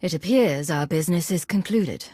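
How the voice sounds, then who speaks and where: An actress playing a principled, composed commander in a video game, measured and authoritative. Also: female voice, on the low side, animated, mellow, slightly rough, dry recording. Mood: resolved. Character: principled, composed female commander, compassionate yet firm.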